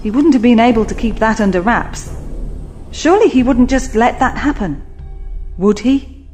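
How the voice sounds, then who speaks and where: An actress playing a plucky young companion in an audio drama, informal, chatty and quippy. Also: female voice, on the high side, animated, moderate pace, darkish tone, soft background music, natural voice. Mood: worried.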